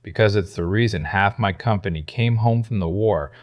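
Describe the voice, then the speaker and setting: Low gruff ex-soldier guy, quiet and tired, a woman putting him on, dry close sound. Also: normal speed, dry recording. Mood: weary. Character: guarded male soldier turned household guard.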